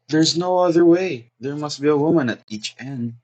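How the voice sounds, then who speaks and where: An anxious society daughter acted by a man in a comic play. The voice is husky and rough-edged. The delivery is earnest, pleading and easily flustered. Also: fairly high pitch, moderate pace, darkish tone, natural voice. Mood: helpful, hurried. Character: anxious, well-meaning daughter of a society hostess. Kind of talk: theatrical stage comedy acting.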